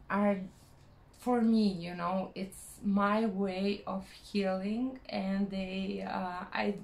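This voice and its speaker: Croatian woman vlogging in english, really slow, lots of ums, roomy echo. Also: mid-range voice, creaky, darkish tone. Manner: hesitant, many fillers, searching for words. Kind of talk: personal vlog monologue.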